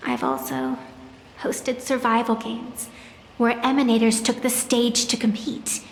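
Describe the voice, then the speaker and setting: A woman's voice: fairly high pitch, very animated, measured pace, creaky, a little hiss or hum, exaggerated voice. A woman voicing an ancient, proud tree spirit in a video game, with a theatrical, wry manner that mixes formality and playfulness. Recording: echoey hall reverb, music behind.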